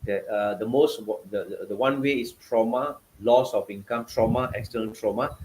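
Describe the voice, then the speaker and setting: A man's voice: on the low side, moderate pace, darkish tone, a little hiss or hum, poor audio. A man with a Malaysian accent, a guest author giving passionate, emphatic interview answers over a video call. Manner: passionate, emphatic, repetitive phrasing.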